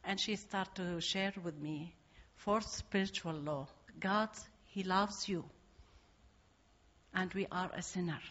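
A woman's voice: on the low side, slow, fairly bright tone, muffled recording. A woman with an Iraqi accent giving a church testimony in simple English, hesitant and full of fillers. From Iraq. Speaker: guest speaker.

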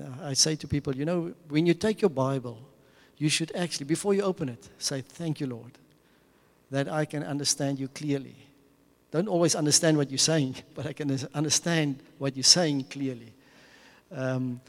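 A man with an Afrikaans accent giving an earnest mission talk to a church, restarting phrases and chuckling now and then. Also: medium pitch. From South Africa. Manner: earnest, repeats words and restarts, chuckles often. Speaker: mission speaker. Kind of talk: church mission presentation with slides. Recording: long hall reverb.

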